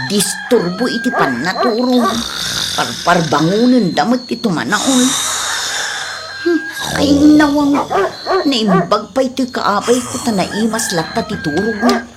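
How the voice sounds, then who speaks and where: A woman's voice: medium pitch, expressive, a little slow, heavy background noise, natural voice. A woman playing a nagging, exasperated wife in a comedy audio drama. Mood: annoyed.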